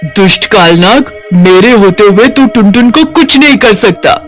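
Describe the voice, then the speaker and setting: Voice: mid-range voice, animated, unhurried, soft background music, lightly acted. A performer voicing a hungry, blunt, boastful but secretly kind-hearted cartoon witch with brash, colloquial delivery. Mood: alarmed. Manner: brash, taunting, colloquial scolding. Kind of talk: Hindi cartoon character voice.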